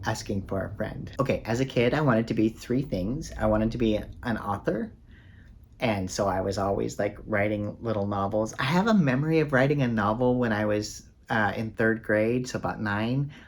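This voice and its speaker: A man with a fairly low voice talks casually to the camera in a book vlog. He rambles with plenty of ums and uhs, poking fun at himself. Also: moderate pace, breathy edge. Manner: rambling, self-deprecating, many fillers. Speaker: book vlogger.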